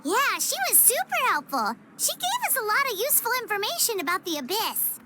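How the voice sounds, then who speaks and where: A woman's voice: very high-pitched, big pitch swings, fairly quick, very noisy. A woman voicing a tiny, chatty, earnest childlike girl companion in an anime-style game. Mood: curious, earnest. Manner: speaks of herself in third person. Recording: loud music behind the voice. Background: loud game music.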